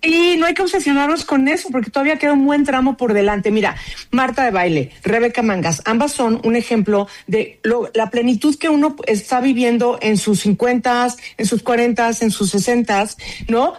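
A woman appears as an author guest on a radio show with a fairly high voice. She gives her opinions with firm emphasis. Her talk is conversational and sure of itself. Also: moderate pace. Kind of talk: radio interview.

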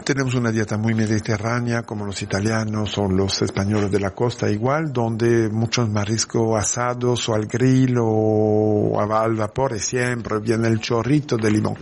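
French chef, low voice, halting Spanish. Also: male voice. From south of France. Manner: animated, keeps checking understanding, many restarts. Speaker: guest chef. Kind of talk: casual interview talk.

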